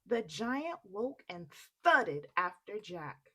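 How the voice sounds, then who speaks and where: A woman reading a children's story aloud expressively, in an unhurried, deliberate way. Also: mid-range voice, sing-song, fairly bright tone.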